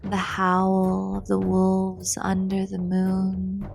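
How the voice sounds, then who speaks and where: A woman leading a guided meditation in a soothing, deliberate, breathy voice, pausing between short phrases. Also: mid-range voice, completely flat delivery, a little mellow. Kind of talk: guided meditation narration, slow and breathy with whispered phrase endings. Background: meditation music.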